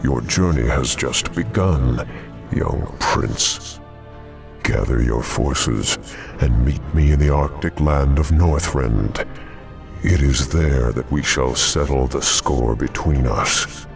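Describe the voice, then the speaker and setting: An actor playing a menacing, mocking demon lord in a fantasy game, with a very deep, gravelly voice and an ominous, taunting delivery. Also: male voice, takes it slowly, faint music behind, full character voice. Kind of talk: dramatic fantasy game villain.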